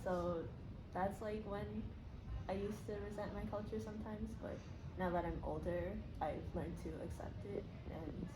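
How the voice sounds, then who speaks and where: A woman's voice: medium pitch, steady tone, moderate pace. A woman giving casual, hesitant interview answers full of fillers. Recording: echoey room with background noise.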